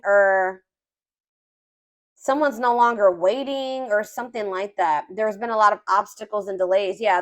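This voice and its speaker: A woman giving a casual, improvised tarot reading, thinking aloud and rephrasing herself as she goes. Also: medium pitch. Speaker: tarot reader.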